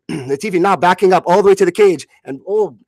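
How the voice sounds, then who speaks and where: A man's voice: high voice, a little fast, a little mellow, dry recording. A man giving live radio play-by-play of a fight as commentator, often stumbling and correcting himself mid-phrase.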